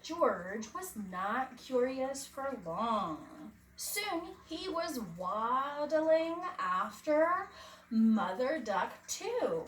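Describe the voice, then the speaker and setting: A woman reads a picture book to small children at a very slow pace. Her intonation swings widely, with dramatic emphasis in a preschool-teacher manner. She sounds distant from the mic, with some faint noise. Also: high voice, reverberant. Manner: expressive, dramatic emphasis, asks young listeners questions. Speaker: preschool teacher reading aloud.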